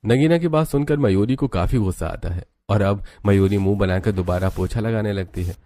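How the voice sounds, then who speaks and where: A man's voice: fairly low pitch, brisk, mellow, faint music behind. A man narrating a scripted moral story as its storyteller.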